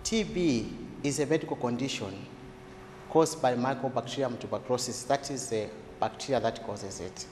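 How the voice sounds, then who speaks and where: A man speaking as a health expert in a didactic, deliberate way, asking questions and answering them himself as he explains. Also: medium pitch. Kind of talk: explanatory interview talk for a documentary. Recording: echoey hall-like room, faint noise.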